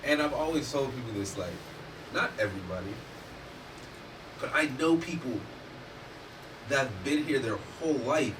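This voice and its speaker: A man talks in a casual, animated way, pressing his points with emphasis and the odd curse. He sits some way from the microphone, and steady background noise runs under his voice. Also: medium pitch, moderate pace, pauses often. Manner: animated, emphatic, swears freely. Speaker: podcast host. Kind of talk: casual discussion. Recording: distant mic with noticeable background noise.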